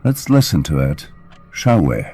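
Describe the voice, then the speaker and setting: A man playing an undead father in an audio drama, mockingly tender and menacing, with a slow, taunting, gravelly delivery. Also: extremely low pitch, very animated, music in the background, full character voice.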